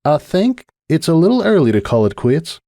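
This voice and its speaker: A man voicing a young female farm pony who is kindly, steady and teasing, with a folksy, reassuring way of talking. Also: a little high, normal speed, vocal fry, dry recording, clean studio sound, voice pushed up. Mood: encouraging.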